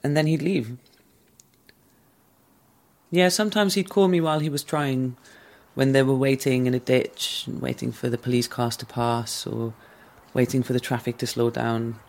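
A man calmly and candidly recounting a past relationship in a thoughtful, measured way. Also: mid-range voice, creaky. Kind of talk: reflective personal storytelling.

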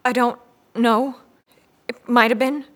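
A woman's voice: fairly high pitch, steady tone, takes it slowly, fairly bright tone. An actress playing a guarded married mother in an audio drama, curt and defensive as she is questioned. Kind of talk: scripted audio drama dialogue.

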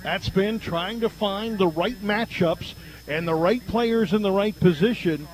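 A man's voice: high-pitched, a little slow, poor audio. A man doing conversational pregame football commentary on the radio, emphatically reeling off stats as the play-by-play announcer. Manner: emphatic, reels off statistics.

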